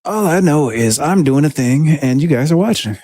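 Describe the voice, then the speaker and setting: A man with a deep voice chatting casually on a livestream while he makes music, laid-back and rambling as he reads viewer comments. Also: expressive, normal speed, dark tone, no room sound, studio quality. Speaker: music producer streaming his session.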